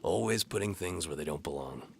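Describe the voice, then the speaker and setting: A man's voice: low voice, expressive, brisk, vocal fry, slight background noise. A man playing a sardonic, world-weary bow for hire, narrating his own story in noir audio drama style. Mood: dry, wry.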